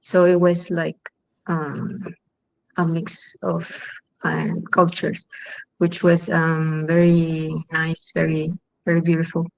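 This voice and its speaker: Halting Chilean woman filmmaker, slow and low. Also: completely flat delivery, gravelly, a little mellow, low-quality recording. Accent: Chilean Spanish. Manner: halting, with many ums and restarts. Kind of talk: festival Q&A talk.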